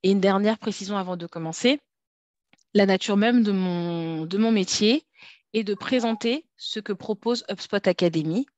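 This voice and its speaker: A woman's voice: mid-range voice, darkish tone, dry recording, muffled recording. A woman from France giving a structured, polite masterclass presentation over a video call. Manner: polite, measured, structured, some fillers. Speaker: guest speaker, trainer.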